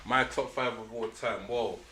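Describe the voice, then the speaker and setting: A man's voice: mid-range voice, steady tone, a little slow, pushed and bright, a little hiss or hum. A young man from Nigeria who grew up in London, talking casually as a rapper guest, laid back and full of slang. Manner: laid-back slangy talk, laughs often. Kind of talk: casual chat.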